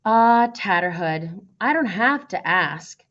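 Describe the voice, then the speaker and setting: A woman voicing a calm, gracious young prince in a storybook, admiring and patient, explaining gently and in a measured way. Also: mid-range voice, dull audio. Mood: gentle, assured. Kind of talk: storybook character voice.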